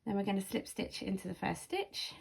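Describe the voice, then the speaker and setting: A woman with a slightly croaky voice giving casual, step-by-step craft instruction as a tutorial host, speaking carefully and clearly into a built-in microphone. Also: medium pitch, very animated, a little fast. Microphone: camera or phone's built-in microphone, no external mic.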